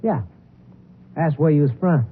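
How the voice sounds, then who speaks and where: Man playing a high-pitched, suspicious small-time crook. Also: measured pace, natural voice. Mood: suspicious, prying. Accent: American. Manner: rough, ungrammatical, protesting. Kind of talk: 1950s radio acting. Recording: noisy, tinny 1950s radio recording.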